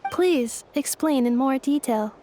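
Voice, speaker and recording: High-voiced gentle female robot. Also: unhurried, slight background noise. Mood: puzzled. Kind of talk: video game voice acting.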